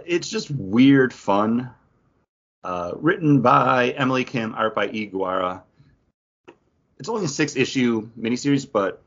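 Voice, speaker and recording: Low-voiced man, slightly muffled recording. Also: a bit bright. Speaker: podcast co-host. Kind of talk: casual comic book chat.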